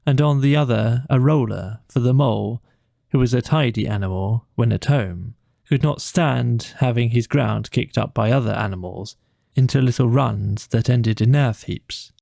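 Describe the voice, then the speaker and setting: A man narrating a bedtime audiobook story from a script, with measured, deliberate phrasing. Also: mid-range voice, vocal fry, mellow, no room sound, studio quality.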